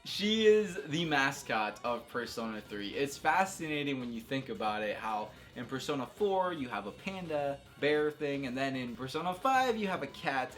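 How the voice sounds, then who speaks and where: A man's voice: mid-range voice, fairly bright tone. A man giving casual, unscripted video game commentary as a host, talking enthusiastically with slang and emphatic exclamations.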